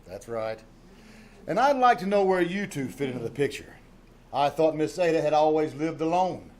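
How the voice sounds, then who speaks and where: Man playing highish-voiced, shrewd radio sheriff. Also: expressive, natural voice. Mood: brisk, probing. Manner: deliberate, authoritative. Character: shrewd, self-assured small-town sheriff. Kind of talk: old-time radio acting. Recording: noisy live theater stage recording.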